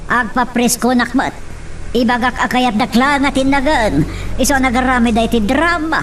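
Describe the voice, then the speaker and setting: A woman acts as a haughty, scheming political matriarch in an audio drama. Her voice is fairly high and heard at some distance from the mic, over noise, commanding and defiant. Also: normal speed, a bit bright. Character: scheming, imperious wealthy mother in politics. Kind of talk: radio drama acting.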